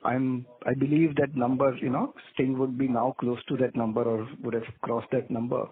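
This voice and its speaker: A man's voice: mid-range voice, little pitch movement, normal speed, husky. Man's voice down a thin phone line. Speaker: financial analyst asking questions. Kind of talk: earnings call questioning.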